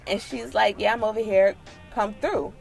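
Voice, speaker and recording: An American woman in her late twenties tells a personal story in a casual, slangy way. Her delivery is animated and sassy, at a middling pitch, with faint music behind her. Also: moderate pace, creaky, slightly rough. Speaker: storytime vlogger.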